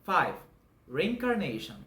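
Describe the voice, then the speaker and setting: A man in his twenties from Brazil with a Brazilian Portuguese accent, whose first language is Portuguese. He hosts his own channel and explains a doctrine in an earnest, measured way, going through points one by one. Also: a little high, big pitch swings, pushed and bright. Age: 20s. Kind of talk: scripted explanatory monologue. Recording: echoey room with faint background noise.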